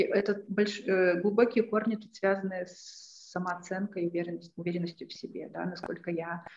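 A woman's voice: fairly low pitch, a little mellow, a little hiss or hum. A woman in her thirties giving an online lecture as a trainer, thoughtful and hesitant, with many fillers and restarts. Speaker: self-defense and assertiveness trainer. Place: small room indoors. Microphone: laptop webcam on a video call. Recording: echoey room, dull lo-fi sound.

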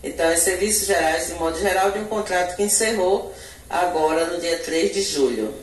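A middle-aged woman with a Bahian Brazilian accent, an education official answering interview questions in a measured, formal way into a handheld TV mic indoors. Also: fairly low pitch, recorded from a distance, slight background noise. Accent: Brazilian Portuguese, Bahia. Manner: measured bureaucratic explanation, occasional self-corrections. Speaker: municipal education secretary. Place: indoor office in front of a logo backdrop. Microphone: handheld TV reporter mic. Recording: echoey room, voice a bit off-mic.

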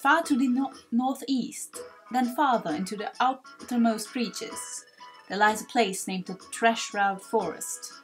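A woman's voice: a little high, faint music behind, natural voice, rough recording. Woman doing a solemn, declamatory guild announcer creature. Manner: declamatory, drawn-out.

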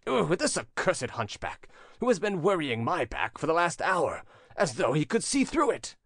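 A storybook narrator voicing a huge, irritable man who blusters, threatens and grumbles loudly. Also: medium pitch, very animated, normal speed, dry recording, studio quality, voice pushed up. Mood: angry, threatening. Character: blustering giant man, irritable crowd bully.

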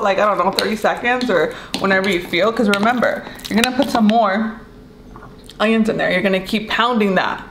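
A young woman with a Mexican accent, chatting casually as she demonstrates a recipe in her home kitchen and sometimes searching for English words. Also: mid-range voice. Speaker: cooking vlogger. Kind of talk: casual cooking demo chat. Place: bright home kitchen, indoors. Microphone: camera mic from a few feet away. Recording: roomy kitchen with clear noise.

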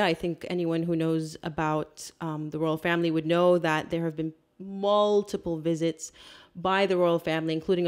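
A woman's voice: fairly low pitch, darkish tone. A woman in her thirties, not Australian-born, co-hosting a casual royal news podcast, chatty and emphatic as she reads from her notes, on a studio mic in a small room. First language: not Australian by birth. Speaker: podcast co-host. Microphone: studio mic on stand.

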